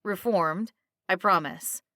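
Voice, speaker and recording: A woman's voice: lowish voice, takes it slowly, sharp tone, no room sound, studio quality. A woman voicing an earnest, loyal retired male soldier in a storybook, politely pleading his case. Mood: imploring.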